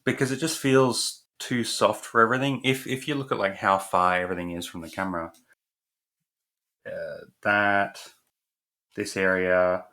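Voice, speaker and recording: A man's voice: fairly low pitch, bright, forceful tone. A man from the UK, giving casual art critique on a stream in a loose, easygoing way. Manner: easygoing, hedging with "like" and "kind of".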